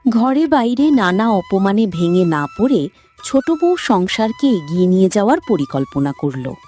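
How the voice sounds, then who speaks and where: A woman's voice: mid-range voice, sing-song, measured pace, warm tone, faint music behind. A woman giving scripted narration as the storyteller of a cartoon tale.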